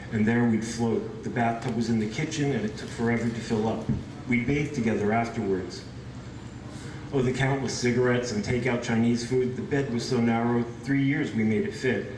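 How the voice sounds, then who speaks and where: A man's voice: mid-range voice, fairly even intonation, moderate pace, noisy background. Man reading poetry, distant in an echoey room. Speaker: poetry reader. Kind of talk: poetry reading with informal asides. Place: indoor bookshop event in front of audience.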